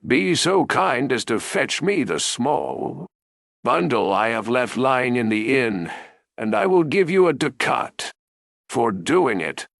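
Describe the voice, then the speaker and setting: A man's voice: mid-range voice, sing-song, slow, gravelly, a bit bright, no echo, studio quality, full character voice. A man voicing a bossy, demanding soldier in a storybook, curt and commanding. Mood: firm. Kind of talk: storybook character voice.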